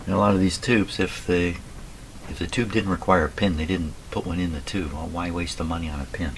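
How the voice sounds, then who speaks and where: A man with a slightly hoarse voice gives casual running commentary as he works, muttering to himself and reading things out deliberately. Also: low voice, fairly even intonation. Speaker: radio repairer and video host. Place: radio repair workshop at a bench. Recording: clearly noisy workshop recording with clicks.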